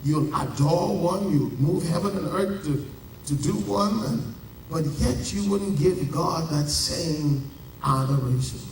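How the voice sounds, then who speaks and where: Bahamian male pastor, unhurried, heavy emphasis; distant mic, noisy hall. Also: on the high side. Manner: preaching with dramatic pauses and drawn-out emphasis. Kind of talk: sermon. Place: large church. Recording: echoey church heard from a distance.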